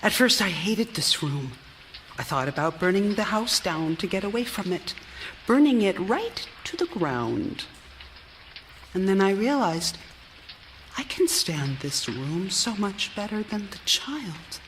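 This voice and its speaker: An actress playing a fretful, confined wife sinking into obsessive madness in a live theatrical radio drama, confiding in a hushed way and breaking into agitation. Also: female voice, mid-range voice, sing-song, a little slow, slight background noise, poor audio. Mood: wistful, brooding. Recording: live stage recording with music underneath. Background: music.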